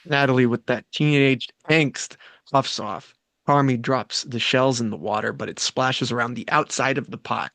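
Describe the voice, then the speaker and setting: A man's voice: medium pitch, moderate pace, vocal fry, fairly bright tone, no room sound. A man hosting a table read, moving between casual, playfully crude banter and reading out stage directions. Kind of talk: casual, joking group chat and script narration.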